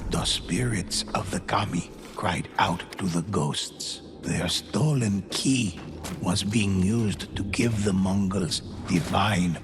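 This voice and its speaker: Slow, gravelly solemn storyteller. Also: male voice, sits low, noisy background, heavily acted. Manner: slow, dramatic, measured delivery. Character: solemn male storyteller of ghostly samurai legends. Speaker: narrator. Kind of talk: scripted storytelling narration. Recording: music under the voice.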